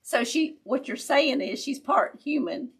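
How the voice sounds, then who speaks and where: A woman with a raspy voice, chatting casually with viewers as she hosts a live craft tutorial. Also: high voice, expressive, a little slow. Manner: chatty, laughs often, talks to viewers as y'all.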